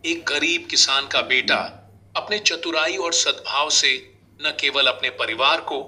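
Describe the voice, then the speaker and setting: A man narrating a scripted moral story as a storyteller, in a measured delivery. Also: on the high side, bright, forceful tone.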